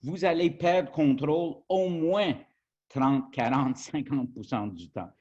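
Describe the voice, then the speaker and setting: A man's voice: on the high side, slow, a little mellow, highs cut off. A man from Quebec whose first language is English, giving a journalism training webinar in French with an English-speaking accent and many hesitations. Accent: English-speaking Canadian accent in French. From Quebec, Canada. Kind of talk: webinar presentation.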